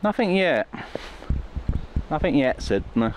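A man's voice: a little high, sing-song, takes it slowly. A man hosting a casual walking vlog outdoors in a dry, tongue-in-cheek way. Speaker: vlog host. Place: outdoors on village roads. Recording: outdoor street noise, passing vehicles.

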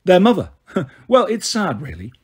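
Man voicing a smug, husky young villain. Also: sits high, huge pitch swings, normal speed, voice pushed up, exaggerated voice. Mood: smug, mocking. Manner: smooth, taunting. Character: smug, smooth college-age male villain. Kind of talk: storybook character voice.

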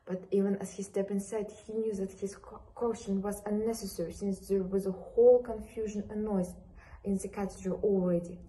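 A young woman reads a novel passage aloud indoors. Her voice is mid-pitched with a warm, mellow tone. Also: level tone, normal speed, poor audio. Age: young adult. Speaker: student reader. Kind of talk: reading literary prose aloud. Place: indoor room beside a large screen.

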